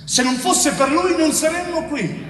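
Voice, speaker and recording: A man's voice: very high-pitched, measured pace, fairly bright tone, a little hiss or hum. An actor playing a wronged innocent man in middle age in an intense stage monologue, bitter and weary, repeating phrases like an incantation. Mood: anguished, accusing. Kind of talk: dramatic theatre monologue. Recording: echoey theatre hall, distant mic, music.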